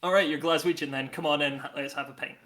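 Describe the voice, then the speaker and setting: A man's voice: on the high side, fairly even intonation, fast, fairly bright tone. A man from Scotland with a light Scottish accent, chatting wryly on a live stream and laughing mid-sentence. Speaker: production manager. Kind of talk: casual chat on a live stream.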